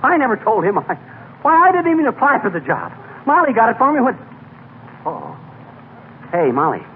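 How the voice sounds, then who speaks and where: A scratchy, thin 1940s radio comedy recording of a hapless, blustering small-town husband. His voice is high and swings freely as he grumbles in folksy slang. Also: huge pitch swings, moderate pace, narrow, tinny audio, full character voice. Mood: indignant.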